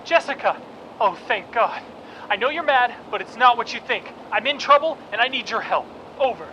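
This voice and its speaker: A man's voice: extremely high pitch, animated, moderate pace, pushed and bright, heavily acted. An actor playing a desperate captive spy pleading urgently with his wife over a radio link in an audio drama. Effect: radio transmission. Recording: dull radio transmission, noisy ambience.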